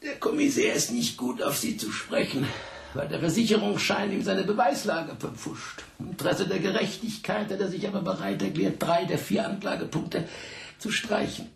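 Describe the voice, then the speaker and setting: An actor in a radio drama playing a sardonic, bullying middle-aged French police investigator, condescending and theatrical. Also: male voice, high-pitched, big pitch swings, moderate pace, gravelly, roomy sound, heavily acted. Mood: mock courteous. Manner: condescending, theatrical, heaving between scorn and mock courtesy. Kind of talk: German radio drama acting.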